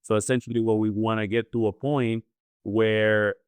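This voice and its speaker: A man's voice: on the low side, little pitch movement, moderate pace, clean tone, dry recording. A man from Chile speaking as a financial planner guest, explaining things conversationally and often checking in with the listener. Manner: explanatory, often seeks agreement with "right?".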